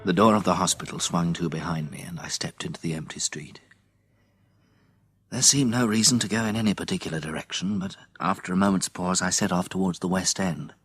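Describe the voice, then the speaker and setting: An actor playing a steady but shaken British survivor, the protagonist and narrator of a radio drama. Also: male voice, deep voice, brisk, slightly rough. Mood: sombre narration. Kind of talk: radio drama dialogue and first-person narration.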